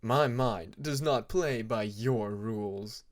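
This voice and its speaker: A man voicing a composed, unimpressed teenage dragon king with a measured, smug manner in an audiobook story. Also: on the low side. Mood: calm assurance. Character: calm, unimpressed fifteen-year-old male dragon king. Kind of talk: fan fiction audiobook character voice.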